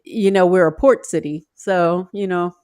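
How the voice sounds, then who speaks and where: Hoarse woman host with New Orleans drawl. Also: on the low side, steady tone, measured pace, warm tone, no echo, studio quality. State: hoarse from illness. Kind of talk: casual video-call talk show.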